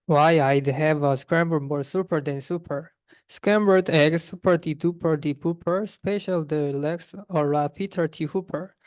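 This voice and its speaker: A man's voice: a little high, steady tone, unhurried, clear, resonant tone, no echo. A young man, a university student, reading a rhyming children's story aloud. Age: young adult.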